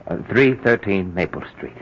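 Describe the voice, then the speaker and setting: An actor playing a nervous husband hiding a crime, stammering and flustered in a 1940s radio drama. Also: male voice, low voice, normal speed, slight background noise, lightly acted. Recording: muffled old radio broadcast.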